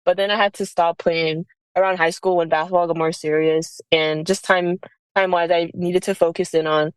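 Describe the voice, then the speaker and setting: A woman's voice: fairly low pitch, fairly even intonation, normal speed, smooth. A woman in her early twenties from the United States, chatting casually as an interview guest, peppering her talk with "like" and "you know". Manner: informal, frequent "like" and "you know". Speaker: college basketball player, interview guest.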